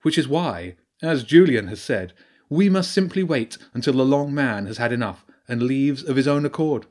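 A man voicing a wise female badger priestess in an audiobook, speaking in a measured, knowing way with solemn warnings. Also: mid-range voice, lively intonation, a little mellow, pitched above the performer's own voice, natural voice. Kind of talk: audiobook character voice.

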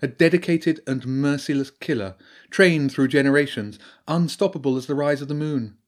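Picture a wise, grave badger priestess from a storybook, lifted into a higher register by a man reading her lines. She speaks in a measured, knowing way and delivers her warnings with weight. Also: natural voice. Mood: solemn. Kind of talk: audiobook character voice.

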